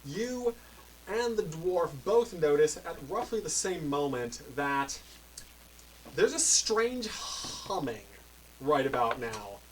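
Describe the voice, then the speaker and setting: A man narrating as game master of a tabletop role-playing game, describing scenes in a relaxed, rambling way. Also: a little high, slow. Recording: mic a bit far, faint noise.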